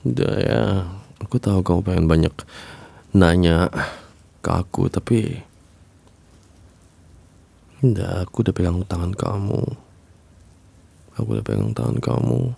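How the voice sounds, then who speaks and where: A man voicing an obsessive young man who secretly haunts a listener's bedroom, speaking softly in a hushed, cooing way with low chuckles in an ASMR roleplay. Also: lively intonation, a little slow, gravelly, pauses often, slightly rough, full character voice. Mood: soothing menace.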